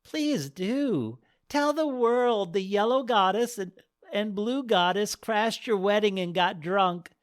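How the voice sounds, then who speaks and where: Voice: mid-range voice, lively intonation, slow, voice pushed up. A narrator doing a kindly, mischievous old goddess. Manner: gentle, playful.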